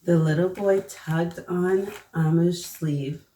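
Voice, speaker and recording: A woman with a mellow, lowish voice reads a picture book to children at an unhurried pace. She turns to her young listeners with questions as she goes. The sound is roomy and a little far from the mic. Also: steady tone. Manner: gently prompting listeners with questions. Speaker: children's storybook reader and host. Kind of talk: read-aloud storytelling with asides to children. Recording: roomy echo, distant mic, faint noise.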